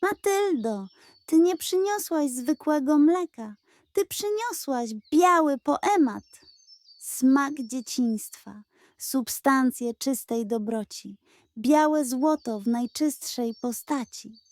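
A pompous, theatrical male pug from a children's audio story, acted by a woman. The voice is high and lifted, with grand, effusive proclamations. The recording is close and dry. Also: expressive, takes it slowly, a little mellow, dry recording. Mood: grandiose, delighted.

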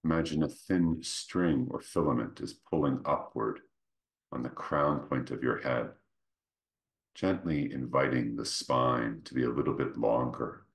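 Very deep, creaky-voiced man guiding meditation. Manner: gentle, unhurried, phrases broken by pauses. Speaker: meditation guide. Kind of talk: guided meditation, slow soothing instruction.

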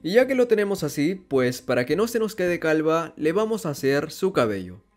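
A man narrating a step-by-step drawing tutorial in a calm, instructional voice-over. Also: fairly high pitch, normal speed, soft background music. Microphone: voice-over over hands-on drawing footage.

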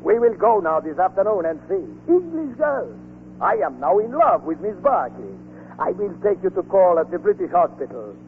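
An actor playing an exuberant, affectionate, teasing Italian army surgeon in a 1940s radio drama. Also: male voice, high-pitched, huge pitch swings, normal speed, exaggerated voice. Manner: effusive, teasing, pushy. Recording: muffled, noisy 1940s radio broadcast, long reverb.

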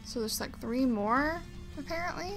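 A woman's voice: high voice, lively intonation, normal speed, over music, rough recording. A woman doing casual, slangy, reactive gameplay commentary as a let's player.

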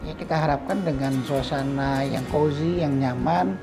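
A middle-aged man, a hospital director, explaining his clinic's services in a measured way, pausing often with fillers. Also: a little high, steady tone. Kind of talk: explanatory interview answers. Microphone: lapel mic. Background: background music.

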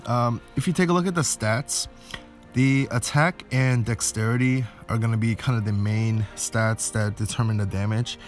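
A man's voice: medium pitch, moderate pace. A man giving a casual, explanatory game walkthrough, thinking aloud as he goes. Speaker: gaming video host. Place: indoors, voice-over on game footage. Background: game music.

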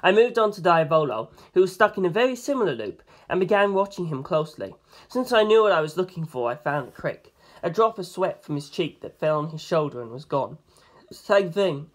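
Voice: medium pitch, animated, brisk. A narrator reading scripted first-person fiction aloud in an audiobook style.

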